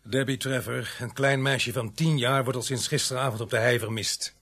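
An actor playing a gruff, sarcastic local police sergeant in a radio drama, with a dry, terse manner. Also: male voice, medium pitch, steady tone, normal speed, a bit bright. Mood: pointed, insistent. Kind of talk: Dutch radio drama acting.

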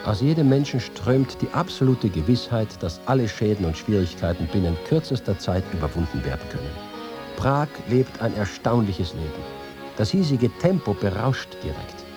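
A man's voice: fairly low pitch, very animated, normal speed, music in the background. An actor playing an aging, wry and spirited roving reporter, reading his writings expressively in a radio feature. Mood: elated. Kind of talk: acted reading of letters and reportage.

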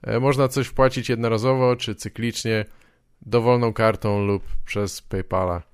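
A man hosting a podcast, talking casually with a dry, deadpan, joking manner. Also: on the low side, moderate pace, clean tone, dry recording. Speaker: podcast host.

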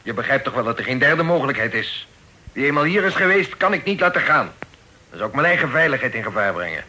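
An imperious pirate lord in a radio play, with a very sharp, forceful voice. He is stern and brusque, curt in every order he gives. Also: mid-range voice, very animated, fast talker, exaggerated voice. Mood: cold, commanding. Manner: curt, commanding. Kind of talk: Dutch radio drama acting.

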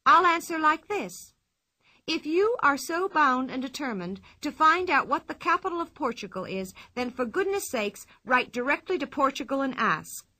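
A woman's voice: very animated, normal speed, sharp tone, no echo, exaggerated voice. A woman voicing a bold, cheeky young girl, bouncy and carefree. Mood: playful. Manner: high bouncy voice, merry and carefree.

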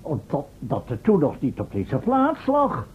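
A man's voice: huge pitch swings, a little slow. A man playing an impatient, worried professor and inventor in a Dutch radio play, hesitating and mildly scolding. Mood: explaining. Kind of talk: Dutch radio drama acting. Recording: thin phone-like old radio recording, noisy, rumble.

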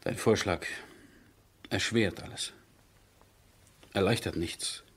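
An actor playing a sober, dutiful army major who speaks in measured, weighty phrases in a 1970s German radio drama. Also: male voice, lowish voice, fairly bright tone, husky, exaggerated voice. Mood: grave.

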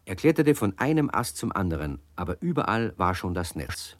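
A man narrates a children's radio story in a deep voice with a lively, playful melody. The sound is dry and close. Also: fairly quick, dry recording. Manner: warm, cozy storyteller, playful emphasis.

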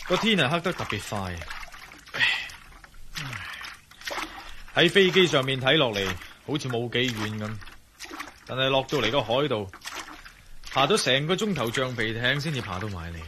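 An actor playing a resolute, self-assured ex-soldier adventurer who thinks aloud in a radio drama. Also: male voice, medium pitch, expressive, moderate pace, natural voice. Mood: self-talking, relieved. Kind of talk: radio drama dialogue and spoken-thought monologue. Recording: radio drama with noisy ambience.

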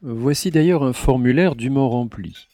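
A man's voice: mid-range voice, normal speed, warm tone, lightly acted. A man playing a courteous but sly finance minister in an audio drama, formal and politely insistent. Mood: slyly insistent.

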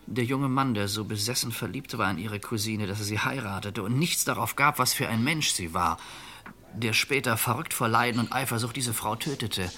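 Middle-aged killer on the run, low crisp forceful voice, calm n fatalistic, old radio crime play. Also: male voice. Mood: weary, philosophical. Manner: measured, reflective, fatalistic. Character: calm, resigned middle-aged fugitive who killed his wife. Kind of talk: old German radio crime drama acting.

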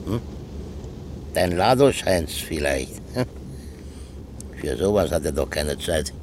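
A very low, gruff older man's voice, over clear background vehicle noise. He is a stubborn grumbler in a radio drama, curt and bossy in his remarks. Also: lively intonation, slow pace, exaggerated voice. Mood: grumbling. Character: grumbling, stubborn old man who poaches fish. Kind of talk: German radio play dialogue.

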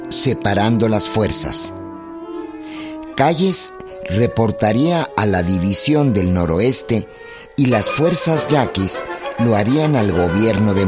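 A male narrator tells a scripted history in a radio play. His voice is fairly low and very unhurried, over clearly audible music. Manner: deliberate, storytelling.

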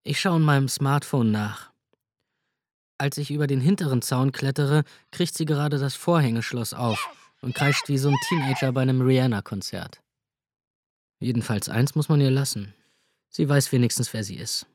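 An actor performing a radio drama monologue, a writer narrating in the first person with a sardonic, scornful tone. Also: male voice, medium pitch, normal speed, no room sound, studio quality.

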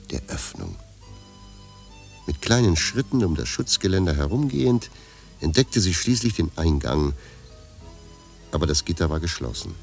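Very deep-voiced man narrating. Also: a little mellow. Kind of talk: scripted literary radio play narration.

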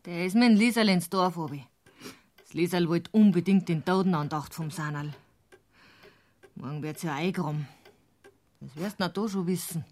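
A woman's voice: fairly low pitch, moderate pace, long pauses, darkish tone, natural voice. An actress playing a blunt, suspicious village farm woman with a Bavarian accent in a German radio crime drama. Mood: suspicious, probing. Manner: plain-spoken, probing.

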